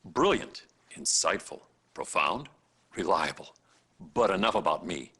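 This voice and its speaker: An actor playing a smug, vain, middle-aged hospital chief of staff in a video game cutscene, pompous and self-satisfied. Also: male voice, deep voice, big pitch swings, measured pace, sharp tone, husky, heavily acted. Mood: smug, boastful. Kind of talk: live-action video game cutscene acting.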